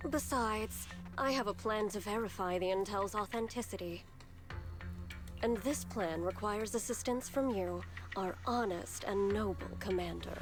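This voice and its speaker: A woman's voice: medium pitch, unhurried, close to an everyday voice. Woman acting a sly, composed game schemer over music. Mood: cool, persuasive. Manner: smooth, teasing, unruffled. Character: composed, sly female schemer, coolly needling her ally. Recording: dramatic music under the voice.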